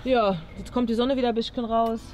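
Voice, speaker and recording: A woman's voice: on the high side, fairly even intonation, fairly quick. A young woman chatting casually as a video host, recorded outdoors on a camera. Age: young adult. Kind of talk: casual challenge vlog chat. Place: outdoors by an open car boot in a parking lot. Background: background music.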